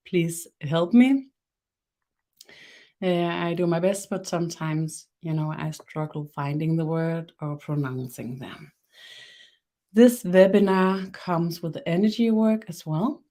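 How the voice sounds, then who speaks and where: A woman with a Danish accent, hosting a live webinar and guiding a body meditation, slow and halting with fillers. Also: lowish voice. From Denmark. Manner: soft, guiding instructions. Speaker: webinar host, energy worker.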